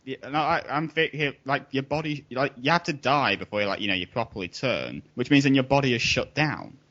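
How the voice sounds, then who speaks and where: A man's voice: medium pitch, expressive, a little fast, a bit bright. A blunt, wisecracking man chatting casually about films on a podcast. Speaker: podcast member. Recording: dull lo-fi podcast audio.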